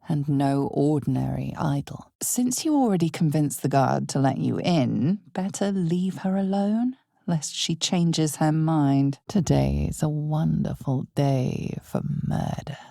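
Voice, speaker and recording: An actress voicing an omniscient, solemn and ominous narrator in a fantasy video game, measured and theatrical, with weighty pauses. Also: female voice, warm tone, no room sound, clean studio sound, exaggerated voice. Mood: wry, knowing. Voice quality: husky, breathy, low. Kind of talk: dramatic scripted second-person narration of a fantasy game.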